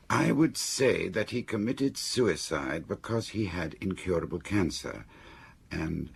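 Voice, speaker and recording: A shrewd confidant in a radio play, with an extremely deep, raspy voice. He is probing and considered, weighing each point. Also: heavily acted. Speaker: actor in radio drama. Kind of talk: radio drama dialogue.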